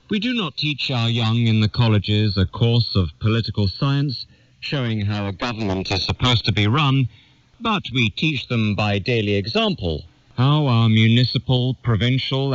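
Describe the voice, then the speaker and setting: A man reads a literary essay aloud in a measured, formal, declamatory way. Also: medium pitch, no room sound, dull audio. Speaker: reader of an essay. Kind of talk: scripted narration, reading a literary essay aloud.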